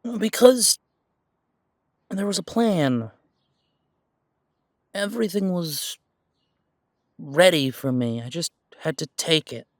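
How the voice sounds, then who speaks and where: A man acts out a pure-blood werewolf full of self-doubt in an intimate audio drama. His voice sits a little high for a man and is recorded very dry. He talks haltingly, tripping over words, defensive and unsure of himself. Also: expressive, no room sound. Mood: regretful. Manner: hesitant, halting, stammering. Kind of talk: audio roleplay drama, one side of an intimate conversation.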